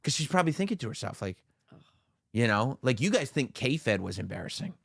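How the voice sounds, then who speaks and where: A man's voice: mid-range voice, big pitch swings, a little fast, creaky, a bit bright, no room sound, clean studio sound. A man co-hosting a podcast in casual banter, joking and mock-incredulous, with easy swearing. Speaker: podcast co-host.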